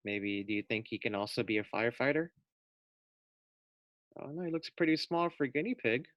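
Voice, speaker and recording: A man's voice: medium pitch, expressive, brisk, no room sound, muffled recording. A man reading a children's storybook aloud as a teacher, expressively, putting questions directly to young listeners.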